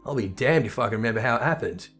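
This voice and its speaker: A man voicing a gruff, wry tavern keeper who teases and spins tales in rough, colloquial speech, as in a fantasy game, with music behind. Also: medium pitch, expressive, racing, fairly bright tone, natural voice. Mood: wry storytelling. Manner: colloquial, teasing, rough-spoken. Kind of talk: character voice reading video game dialogue.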